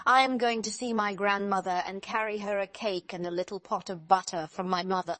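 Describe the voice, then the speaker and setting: A woman's voice: mid-range voice, fairly even intonation, fairly quick, a bit bright, no room sound, close to an everyday voice. A woman voicing an innocent, wide-eyed little country girl in a storybook, exclaiming in amazement.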